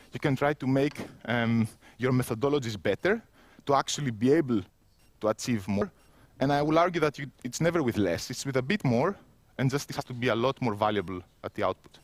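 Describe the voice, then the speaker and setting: A man's voice: medium pitch, dry recording. A man in his thirties with a Greek accent, giving a self-mocking conference keynote while standing in an empty indoor room on a headset mic. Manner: self-mocking, conversational, with frequent restarts. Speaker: keynote speaker.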